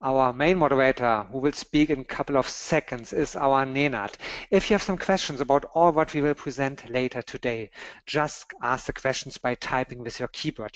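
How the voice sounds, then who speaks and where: A man's voice: mid-range voice, no echo. German man hosting a webinar. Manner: friendly, halting non-native phrasing. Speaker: webinar co-moderator. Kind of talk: webinar introduction. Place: home or office in Berlin. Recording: dull, dry online webinar audio.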